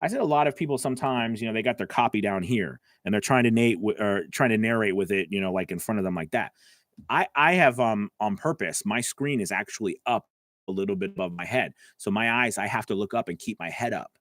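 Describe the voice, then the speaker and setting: A man's voice: fairly low pitch, fairly quick, a bit bright, dry recording. A man coaching voice actors on a live stream, answering viewer comments in a casual, chatty way from his home studio. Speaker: voice-over coach.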